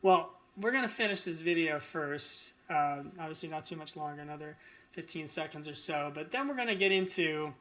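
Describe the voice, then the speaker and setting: Male political commentator, higher-than-average voice, indignant and emphatic, unscripted opinion delivery. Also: fairly quick, fairly bright tone. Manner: indignant, emphatic, repetitive phrasing.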